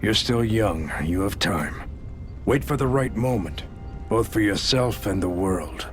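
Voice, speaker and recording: An actor playing a gruff, caring, world-weary doctor in a video game, measured and gently scolding. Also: male voice, on the low side, lively intonation, music in the background. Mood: calm advising. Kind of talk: video game cutscene voice acting.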